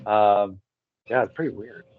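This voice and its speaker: A man's voice: fairly low pitch, steady tone, measured pace. A man explaining things casually as a podcast guest, hesitant and searching for his words. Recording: close, dry but dull lo-fi mic.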